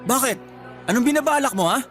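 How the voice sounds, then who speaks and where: A man's voice: very high-pitched, animated, quick pace, a bit bright. A man playing an arrogant graduate in his twenties, a scornful, taunting younger brother with a Filipino accent in a radio drama. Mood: dismissive. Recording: music bed under the voice.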